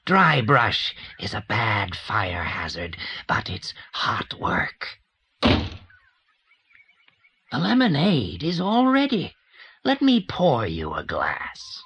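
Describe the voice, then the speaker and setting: An actor playing a menacing yet courteous scarred older-style stranger with a strange, whispery voice in an audio drama. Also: male voice, medium pitch, wildly swooping pitch, extremely slow, bright, forceful tone, slight background noise, heavily acted. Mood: explanatory, courteous.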